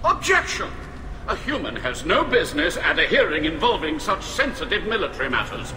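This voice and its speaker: A man's voice: a little high, very animated, a little fast, background noise. An actor playing a stern, hardline male alien admiral in a game cutscene. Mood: combative. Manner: sharp, combative. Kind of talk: video game cutscene dialogue. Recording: echoey game mix with music.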